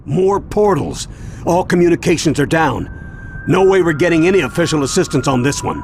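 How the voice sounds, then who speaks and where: An actor playing an older American grandfather in an animated game, serious, protective and commanding. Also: on the high side, fairly quick, slight background noise. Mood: urgent. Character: steady, protective grandfather leading his grandkids on a mission. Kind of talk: animated video game voice acting. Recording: background music under the voice.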